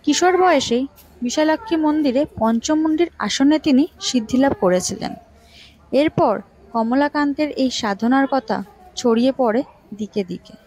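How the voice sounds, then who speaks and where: A woman, narrating local history as a scripted story in measured phrases. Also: high-pitched, darkish tone, rough recording. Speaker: vlog host and narrator.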